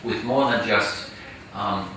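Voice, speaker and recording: Male panelist, low voice, halting with fillers, distant mic in an echoey noisy hall. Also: sharp tone. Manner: hesitant, many fillers and restarts. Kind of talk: conference panel discussion. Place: large conference hall.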